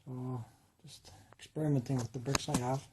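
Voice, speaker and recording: A man with a rough voice, casually rambling and correcting himself while he shows his model city. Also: mid-range voice, fairly even intonation, normal speed, background noise. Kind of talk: casual talk-through.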